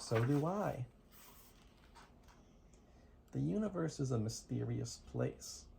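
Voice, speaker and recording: Bedtime storyteller, parent type, unhurried and gravelly, rhythmic rhymes, slightly noisy amateur home recording. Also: medium pitch, mellow. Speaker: parent reading bedtime stories. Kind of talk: reading picture books aloud to a toddler.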